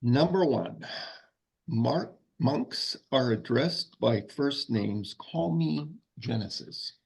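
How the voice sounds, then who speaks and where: Monk male actor: pompous, scolding traditionalist, unhurried delivery, rough husky tone, comedy script read. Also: medium pitch, expressive, exaggerated voice, poor audio. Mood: lecturing. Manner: pompous, lecturing.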